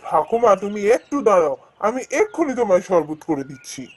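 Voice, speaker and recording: A woman's voice: mid-range voice, sing-song, fairly quick, darkish tone. A woman voicing a poor, kindly, downcast male street seller in a cartoon fairy tale, with a homely, plaintive delivery. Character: poor, downcast, kindly husband who sells sherbet.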